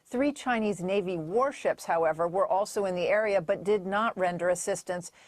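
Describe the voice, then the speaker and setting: Woman news correspondent, measured report. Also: mid-range voice, a little mellow, no room sound.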